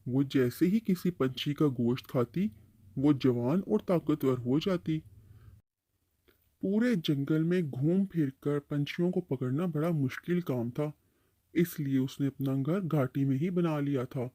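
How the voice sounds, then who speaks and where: A man's voice: fairly high pitch, unhurried, warm tone, no room sound. A man playing a kindly uncle tree in a bird cartoon, patiently explaining and advising. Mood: explaining, cautioning. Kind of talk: Hindi-Urdu cartoon storytelling dialogue.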